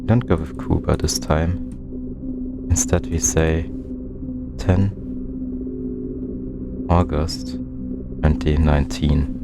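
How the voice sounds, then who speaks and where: A man's voice: a bass voice, fairly even intonation, slow, gravelly, pauses often, loud music behind the voice. A man giving casual gaming commentary, muttering to himself as he puzzles things out. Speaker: game streamer, let's-player.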